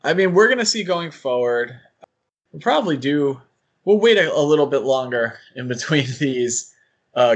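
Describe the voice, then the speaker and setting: A man hosting a podcast in a casual, conversational chat style. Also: medium pitch. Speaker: podcast host.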